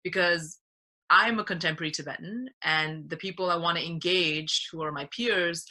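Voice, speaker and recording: A woman's voice: on the low side, normal speed, bright, forceful tone, low-quality recording. A woman born in Nepal, founder of a community arts program, speaking earnestly and reflectively in an interview over a video call.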